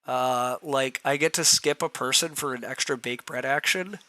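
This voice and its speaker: A man with a rough, hoarse voice, streaming a board game, thinking aloud through his choices and answering chat as he clears his throat. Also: medium pitch, normal speed, bright, forceful tone.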